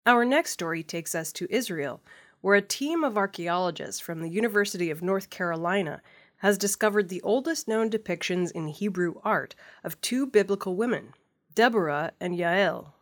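A woman's voice: mid-range voice, lively intonation, normal speed. A woman reading scripted news stories as the presenter of an audio news programme. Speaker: news reader.

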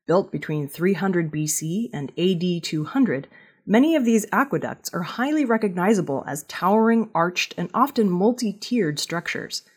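A woman reads the news from a script in a slightly low register. Her voice has a husky grain, and her intonation moves in a lively way through each sentence as she narrates. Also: moderate pace. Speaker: news reader.

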